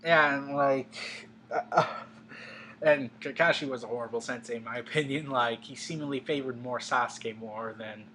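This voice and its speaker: Bright-voiced young man, opinionated. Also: medium pitch, moderate pace. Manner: opinionated, stumbling restarts. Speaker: co-host. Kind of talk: casual anime discussion. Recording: faint background hum.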